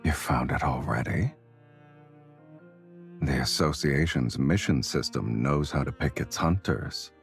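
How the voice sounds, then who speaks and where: Very deep teasing game rogue. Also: male voice, measured pace, vocal fry, soft background music, heavily acted. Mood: wry, smooth. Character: teasing, smooth, worldly male arms and antiques collector. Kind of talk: acted video game dialogue.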